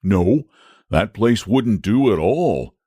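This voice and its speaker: Storybook dragon, genteel and lazy, deep drawl, read by a man; casting note. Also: lively intonation, takes it slowly, warm tone, breathy edge, no room sound, studio quality, full character voice. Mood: placid. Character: lazy, genteel, self-satisfied male dragon.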